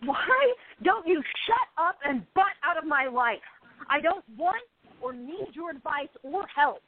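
A woman's voice: sits high, animated, measured pace. A woman acting the part of a depressed, envious, defensive actress of almost thirty in a radio play. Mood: angry, bitter. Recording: thin phone-like audio.

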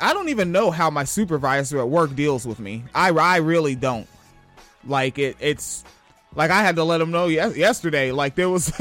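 A young man from Washington State talks in a high, light voice, reading odd news stories and breaking off into rambling, profane asides. He laughs often and keeps things loose and casual. Faint music sits in the background. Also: fairly bright tone. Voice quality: high-pitched voice. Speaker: podcast host.

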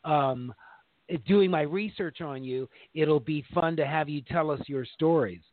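A man's voice: a little high, measured pace, no room sound. A man co-hosting a casual talk show, joking and talking over his partner. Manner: warm, joking, talks over others. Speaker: co-host. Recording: dry tinny phone line.

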